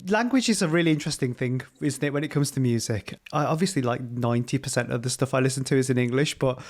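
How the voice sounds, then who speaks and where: Male host, Gujarati native, mid-pitched, roundabout questioner. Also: quick pace, darkish tone. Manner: chatty, circling questions with "like" and restarts. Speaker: podcast host. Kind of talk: casual interview questions.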